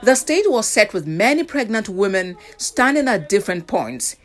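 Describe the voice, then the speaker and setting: A woman's voice: medium pitch, big pitch swings, moderate pace. A woman with a Nigerian accent reading a scripted news report as the reporter.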